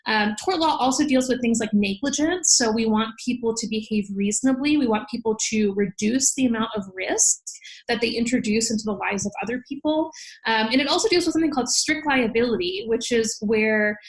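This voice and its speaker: An American woman with a slightly high voice gives explanatory interview answers. She is articulate and organized, laying things out plainly like a professor. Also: fairly quick, poor audio. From United States. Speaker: law professor, podcast guest.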